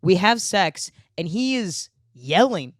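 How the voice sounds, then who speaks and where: A woman with a low voice hosting a podcast, telling crude comic stories in casual chat. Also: takes it slowly, dry recording, clean studio sound. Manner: crude, animated storyteller. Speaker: podcast host.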